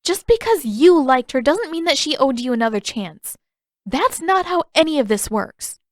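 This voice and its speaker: Woman voicing a high, fiery teenage girl. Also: animated, moderate pace, dry recording, studio quality. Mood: indignant. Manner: exasperated, emphatic, argumentative. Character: fiery, indignant, defiant teenage girl waterbender. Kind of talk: audiobook-style fan fiction character reading.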